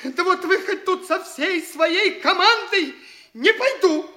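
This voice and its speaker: A very high-pitched young petty official. He drags out his words with stammering, petulant indignation. It is stagy radio comedy, all cowardly bluster. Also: animated, slow, pushed and bright, exaggerated voice. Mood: indignant, frightened. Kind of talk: theatrical classic comedy radio acting.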